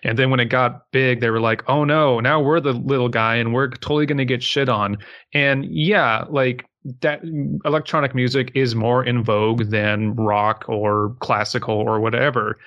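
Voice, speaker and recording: A man's voice: mid-range voice, steady tone, moderate pace, highs cut off. A man co-hosting a podcast, speaking casually in a blunt, emphatic, sweary and opinionated manner. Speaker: podcast co-host.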